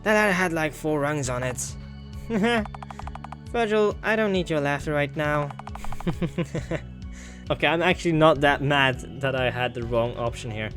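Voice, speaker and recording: A man's voice: a little high, a little slow, long pauses, fairly bright tone, soft background music. A man giving joking, sarcastic gaming commentary and reading visual novel dialogue aloud. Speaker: video game let's player.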